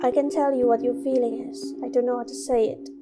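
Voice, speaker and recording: Woman as young female student, high-pitched, earnest, curious, amateur radio drama, faint music behind. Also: steady tone, a little fast, close to an everyday voice. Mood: earnest, concerned. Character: curious, friendly young female student narrating her story.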